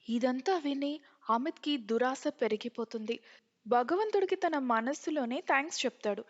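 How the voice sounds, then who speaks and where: Female narrator for scripted tales, very high voice, dull recording with long reverb. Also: moderate pace.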